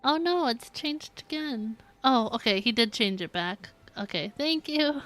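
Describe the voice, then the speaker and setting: A woman's voice: on the high side, expressive, a little slow, a bit bright. A woman giving casual live gameplay commentary, giggly and thinking aloud as she plays. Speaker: video game streamer. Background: game music.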